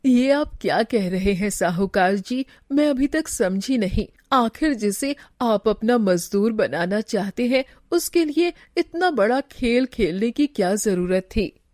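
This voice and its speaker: A sly village woman who flatters the villain played by a woman storyteller in a cartoon story. She is inquisitive and unhurried, with a fairly high voice. The sound is dry. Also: no echo. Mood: admiring, sly.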